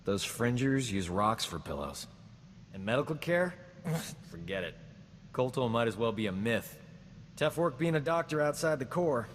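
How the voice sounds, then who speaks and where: An actor playing a boastful, smooth-talking combat medic in a video game, joking and flattering himself. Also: male voice, mid-range voice, normal speed, long reverb tail, a little hiss or hum, close to an everyday voice. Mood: cocky. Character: cocky boastful man, a frontier combat medic. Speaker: companion character in a video game. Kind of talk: video game character dialogue.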